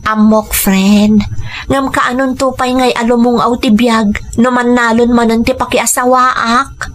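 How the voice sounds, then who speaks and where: A woman's voice: on the high side, little pitch movement, measured pace, natural voice. A woman playing an ambitious young hotel receptionist who longs for more, in an audio drama with faint noise. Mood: wistful, ambitious. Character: ambitious, discontented young female hotel receptionist dreaming of riches. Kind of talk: Ilocano audio drama acting.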